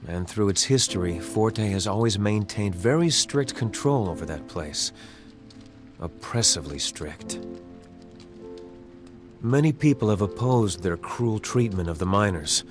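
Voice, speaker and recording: An earnest young prince, speaking in a lowish, soft-spoken voice. He is measured and formal, with game music clearly audible behind him. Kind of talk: game cutscene voice acting. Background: game soundtrack music.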